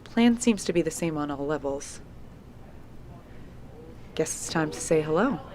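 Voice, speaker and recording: A noisy field tape with clicks carries a diligent young female researcher. Her slightly low voice is matter-of-fact, polite and inquisitive, in audio drama style. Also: expressive, unhurried. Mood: businesslike.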